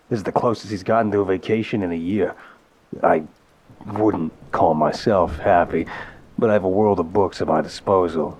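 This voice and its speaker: A man playing an apologetic, smitten mafia boss in an intimate audio roleplay, tender and wryly self-deprecating, with a husky voice. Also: deep voice, darkish tone, slight background noise, lightly acted. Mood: wistful, affectionate. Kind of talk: intimate ASMR roleplay, conversational voicemail monologue.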